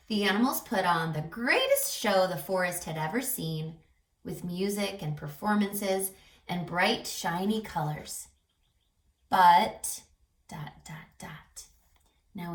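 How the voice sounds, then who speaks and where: A woman reading a picture book aloud for children, animated, pausing to ask questions and dropping to hushed tones. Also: mid-range voice. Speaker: read-aloud storyteller for children. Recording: roomy echo, distant mic, music behind.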